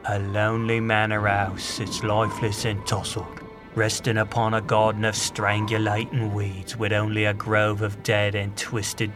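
A man announcing and narrating an audio drama in a scripted, theatrical storytelling style. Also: lowish voice. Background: music.

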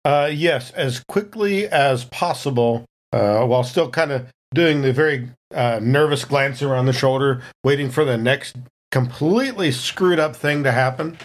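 A man's voice: medium pitch, moderate pace. A man chatting casually as a player in a tabletop game, with a wry, jokey manner.

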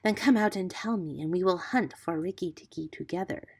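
A woman voicing a scheming, commanding female cobra in a storybook, speaking in a hushed, conspiratorial way. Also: fairly low pitch, brisk, husky.

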